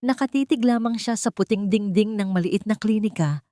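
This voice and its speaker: A woman narrating a dramatic audio story in a measured, scripted way. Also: mid-range voice, warm tone, no echo, clean studio sound.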